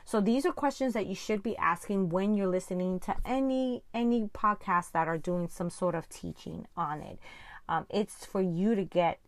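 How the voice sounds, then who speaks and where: A woman whose first language is Spanish speaks with a Spanish accent at a middling pitch. She teaches the Bible like a casual podcast host, conversational and emphatic. The sound is dry, with very little room in it. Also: normal speed, no room sound.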